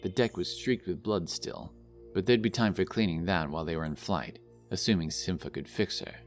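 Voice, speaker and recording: A man's voice: deep voice, normal speed, faint music behind. A man narrating a scripted fantasy story as the narrator of an audio drama.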